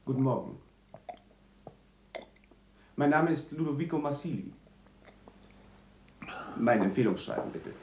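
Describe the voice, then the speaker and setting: An actor playing a polite, languid young gentleman of good family, formal and courteous, in a 1960s German radio drama. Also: mid-range voice, lively intonation, measured pace, long pauses, natural voice. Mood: polite, indifferent. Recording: roomy, faintly noisy old radio recording.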